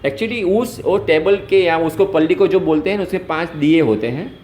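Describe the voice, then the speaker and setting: A man from Gujarat, with a Gujarati accent, talks in a roomy, echoing call recording. His voice sits a little high. He explains things step by step in conversation, reaching for everyday examples. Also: moderate pace, a little mellow, slight background noise. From Gujarat, India. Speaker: host, activist caller.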